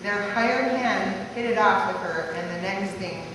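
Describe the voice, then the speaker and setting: A woman's voice: medium pitch, measured pace. A woman reading a rhyming life story aloud in a sing-song way at a family gathering. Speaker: family member reading a verse tribute. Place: large echoey room at a party gathering. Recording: echoey hall from far off, background noise.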